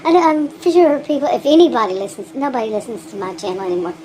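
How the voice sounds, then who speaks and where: A woman's voice: a little high, fast talker, a little mellow, slight background noise. A woman with a thick Texan accent, vlogging in a rambling, frank monologue, often laughing and restarting her sentences. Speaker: gig delivery vlogger.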